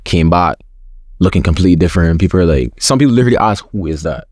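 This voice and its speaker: Young man, very low voice, earnest casual chat, dry recording. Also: lively intonation, fast talker, a little mellow, no echo. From school system with forms. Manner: slangy, repeats words, open and earnest. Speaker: interview guest.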